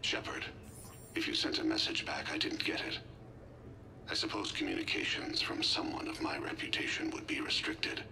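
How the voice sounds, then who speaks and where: Over clear background noise comes an ailing alien ex-assassin from a video game, heavily gravelled and breathy. He talks formally and with wry reflection, his delivery swinging widely in pitch. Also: huge pitch swings, moderate pace, heavily acted. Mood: wry, resigned. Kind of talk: video game acting, recorded personal message.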